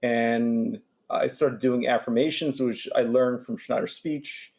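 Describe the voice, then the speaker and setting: A man's voice: medium pitch, fairly even intonation, takes it slowly, creaky, a little mellow. A man in his forties from New York who stutters, with repeats and restarts, talking as a guest in a casual interview. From New York, USA. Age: 40s.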